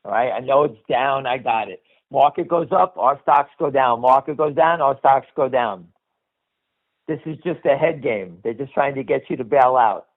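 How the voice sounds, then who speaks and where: A man hosting a conference call, giving unscripted, emphatic stock market commentary in a blunt, insistent way, repeating phrases and checking in with short tags. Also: medium pitch. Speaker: host of an investor conference call. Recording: tinny phone-line or radio-like sound.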